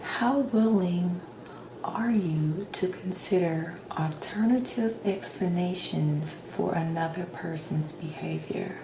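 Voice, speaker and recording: Soft spoken lady doing asmr doctor roleplay, real slow n reassuring, half whispery, roomy echo. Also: fairly low pitch. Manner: gentle, reassuring, clinician-like questioning. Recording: roomy echo, clear background noise.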